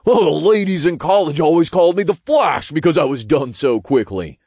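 A man's voice: on the high side, expressive, a little fast, a little mellow, slightly rough, dry recording, clean studio sound, voice pushed up. A man voicing a tiny, brash male imp sidekick who boasts and wisecracks crudely in an audiobook story. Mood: cocky, boastful.

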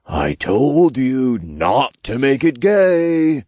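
A man voicing a tiny, crude male imp in an audiobook, loud, slangy and obnoxious. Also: medium pitch, sing-song, normal speed, dry recording, clean studio sound, voice pushed up. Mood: teasing.

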